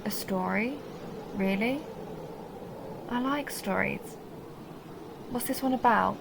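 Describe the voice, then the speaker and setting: A woman playing a seductive, possessive vampire countess in an intimate audio roleplay, speaking slowly and teasingly, often dropping toward a breathy whisper. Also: medium pitch, background noise. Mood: curious, amused. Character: seductive possessive vampire countess toying with her human captive. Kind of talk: intimate dark romance audio roleplay, ASMR-like.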